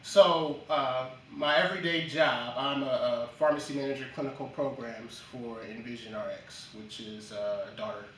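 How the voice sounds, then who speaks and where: A man from Jamaica, a guest in a casual interview, speaking in a measured way with frequent ums and uhs. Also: mid-range voice, steady tone, fairly bright tone, a little hiss or hum. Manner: measured, many ums and uhs, lists achievements. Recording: echoey room from a distance.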